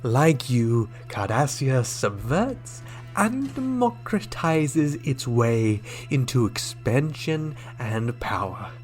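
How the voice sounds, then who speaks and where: Alien ambassador played by a man, very unhurried, formal and theatrical, coolly menacing, faint music underneath, radio drama. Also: mid-range voice, big pitch swings. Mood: proud, menacing. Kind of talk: sci-fi radio drama acting.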